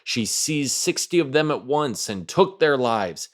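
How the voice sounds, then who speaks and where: A man's voice: medium pitch, lively intonation, a little slow, lightly acted. A man voicing an earnest, friendly talking straw with a dramatic storybook manner. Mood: indignant. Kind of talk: storybook character voice.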